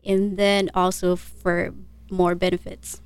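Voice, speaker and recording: A polite, soft-spoken woman in her twenties from Nepal, an Air Force radiology tech answering interview questions. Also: fairly low pitch, level tone, slow pace, clear, resonant tone, dry recording. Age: 20s.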